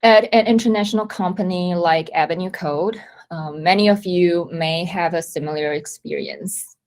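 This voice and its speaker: A woman in her thirties, originally from Taiwan, giving a measured, reflective presentation at a company event. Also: on the low side, a little mellow. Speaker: company talk speaker. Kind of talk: prepared presentation talk.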